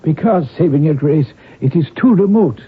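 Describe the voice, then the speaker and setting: A wily, loyal Irish manservant with a very husky, rather high voice. He talks in a deferential, folksy, roundabout way, as heard in a tinny 1970s radio play. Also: lively intonation, moderate pace. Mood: humble, persuasive. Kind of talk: 1970s radio drama acting. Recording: tinny band-limited radio broadcast.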